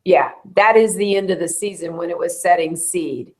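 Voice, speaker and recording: A woman, hoarse and losing her voice, hosting a casual bloom-status chat with a friend. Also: on the low side, darkish tone. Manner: chatty, quick to laugh. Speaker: host of a bluebonnet bloom update. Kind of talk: casual live chat with a co-host.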